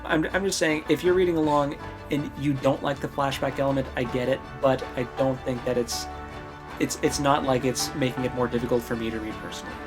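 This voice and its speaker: A man's voice: mid-range voice, steady tone, a little fast, music in the background. A man speaking casually and informally as a podcast co-host in a book discussion, often rephrasing himself as he goes.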